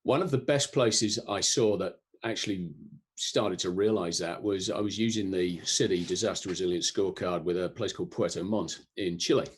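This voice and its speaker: A European man answering interview questions in a thoughtful, explanatory way, pausing often with ums and restarts. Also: deep voice, husky. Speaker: guest, disaster resilience expert.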